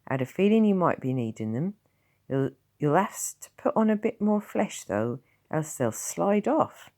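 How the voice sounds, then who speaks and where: Woman reading as a blunt, kindly old countryman; low, animated, plain-spoken. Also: normal speed. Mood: gruff, plain. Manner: blunt rural dialect, calm.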